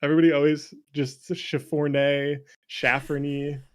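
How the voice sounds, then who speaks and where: A man's voice: on the high side, little pitch movement, normal speed. Jokey, self-deprecating man chatting casually. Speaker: interview guest; indie game developer and streamer. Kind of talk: casual chat.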